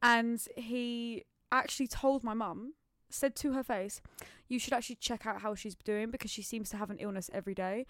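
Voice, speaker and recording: A woman's voice: a little high, fairly even intonation, moderate pace, fairly bright tone, no echo, clean studio sound. A young adult British woman chatting casually as a podcast co-host, telling anecdotes with slangy, sweary, acted-out quotes.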